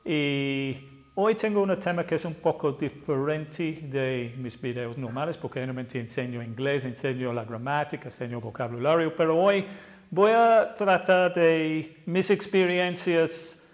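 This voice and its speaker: A man in his sixties from England, an English teacher with an English accent, talking casually to camera, often pausing and correcting himself. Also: fairly high pitch. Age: 60s. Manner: hesitant, self-correcting, plain-spoken.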